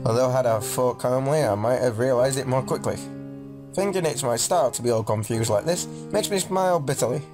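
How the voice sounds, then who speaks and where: Guy narrating a visual novel lets play, normal pitch, kinda dramatic w casual jokey asides, music playing behind. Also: a little fast. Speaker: let's player reading a visual novel aloud.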